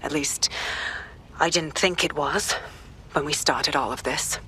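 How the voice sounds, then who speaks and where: A woman's voice: low voice, measured pace, bright, forceful tone, a little hiss or hum, rough recording. A woman playing a principled, weary police investigator in a video game, grave and determined. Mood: sombre. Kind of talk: video game cutscene acting.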